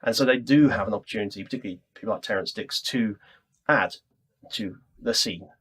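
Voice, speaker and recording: A man's voice: lowish voice, fairly quick, fairly bright tone, slightly rough. A middle-aged British man presenting an interview, chatty and enthusiastic, building long conversational questions. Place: audio studio interview.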